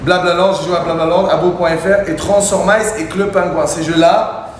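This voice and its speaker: A man's voice: sits high, steady tone, normal speed. Joking young man, slight Arabic accent, echoey room. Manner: joking, playful, loose and crude. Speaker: co-host of a live stream. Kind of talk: casual livestream banter. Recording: very echoey room, faint ambient noise.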